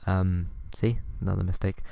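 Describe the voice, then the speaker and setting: Young podcast guy, quiet and hesitant, gravelly fry, dry close sound. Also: low-pitched, flat delivery, normal speed, dry recording. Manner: soft-spoken, hesitant, many ums. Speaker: podcast host. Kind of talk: first attempt at ASMR, quiet podcast chat.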